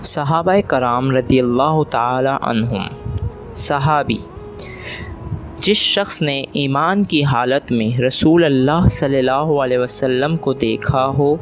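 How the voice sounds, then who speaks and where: A man reading scripted religious narration in a formal, measured manner. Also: mid-range voice.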